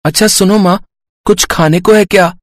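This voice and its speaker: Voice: mid-range voice, fairly even intonation, moderate pace, no echo, clean studio sound, lightly acted. An adult performer voicing an innocent, plaintive six-year-old boy for a cartoon story. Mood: eager.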